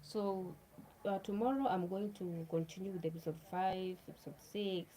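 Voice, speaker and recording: A woman's voice: medium pitch, steady tone, moderate pace, mellow, low-quality recording. A Kenyan woman whose first language is Luo, chatting casually to viewers on a livestream, repeating herself and speaking to them directly. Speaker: livestream host.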